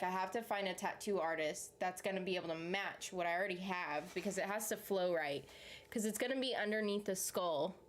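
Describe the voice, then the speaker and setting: Chatty woman with a Southern accent. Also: medium pitch. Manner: chatty, rambling, answers chat comments. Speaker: YouTube reaction host. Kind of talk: casual live chat with viewers.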